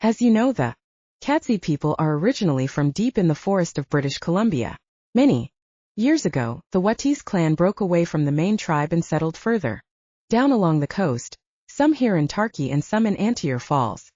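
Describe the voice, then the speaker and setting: A woman's voice: lowish voice, very animated, normal speed, no echo. A woman narrator voicing a kindly, comforting storyteller in an audiobook, on a slightly dull recording. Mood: warm storytelling. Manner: warm, comforting.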